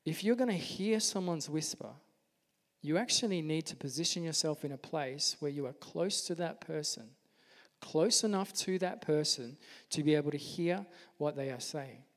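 A soft-spoken man preaching a sermon as a pastor, in an earnest, gentle manner. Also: fairly high pitch, moderate pace. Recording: long hall reverb.

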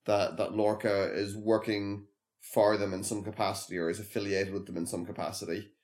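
Guy on a podcast, lowish voice, dry and kinda hesitant. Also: flat delivery, brisk, darkish tone. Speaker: podcast co-host. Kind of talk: casual chat.

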